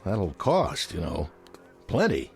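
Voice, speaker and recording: An actor playing a wisecracking, slangy city bartender who placates nervously in a television drama. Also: male voice, low-pitched, huge pitch swings, slow pace, vocal fry, faint music behind, exaggerated voice. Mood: nervous, placating.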